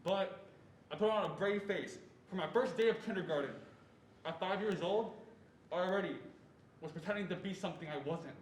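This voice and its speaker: A young man performing as an adult man who earnestly recalls his fearful boyhood in a deliberate stage reading. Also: high voice, close to an everyday voice. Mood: earnest, reflective. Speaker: student performer reading as narrator. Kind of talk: reader's theatre narration of a first-person memoir. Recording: echoey room with faint noise.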